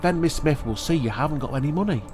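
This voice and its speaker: A man voicing a gentle, worried aunt calming a quarrel, in a storybook reading. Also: a little high, quick pace, over music, pitched above the performer's own voice, natural voice.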